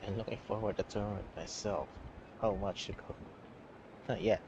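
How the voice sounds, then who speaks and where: A man's voice: lowish voice, moderate pace, very noisy. A man who is a native Tagalog speaker, reacting casually as he plays a game on a livestream. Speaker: streamer playing a game. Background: game music.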